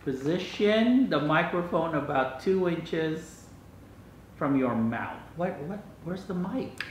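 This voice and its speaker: An older man with a slightly high voice chats casually as he hosts a home gadget test. He is picked up from across a dining room, with plenty of room echo. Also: lively intonation, slow. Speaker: vlog host. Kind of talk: casual chat while testing a gadget. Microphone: camera microphone across the room. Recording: echoey room, distant mic, faint noise.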